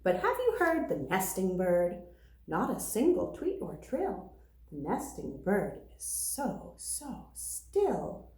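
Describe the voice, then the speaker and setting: A woman teacher reading a picture book aloud to young children in an expressive, deliberate way. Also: medium pitch, a little hiss or hum. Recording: roomy, echoing sound.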